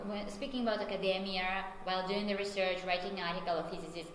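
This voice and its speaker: A Ukrainian-accented woman speaks quickly, giving interview answers in English. She often pauses to correct herself. Her voice rings through an echoey hall with a faint hum of noise. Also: medium pitch, steady tone. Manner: thoughtful, self-correcting, fillers like "so" and "like". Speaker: university English teacher.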